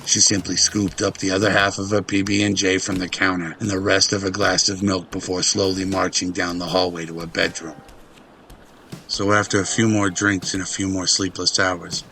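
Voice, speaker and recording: A man's voice: deep voice, pushed and bright, a little hiss or hum. A man reading scripted narration for an audio drama.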